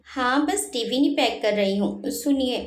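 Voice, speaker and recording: A woman's voice: a little high, measured pace, lightly acted. A woman voicing a naive, dutiful housewife in a family story, innocent and mild in manner. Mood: innocent, puzzled. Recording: roomy echo on the voice.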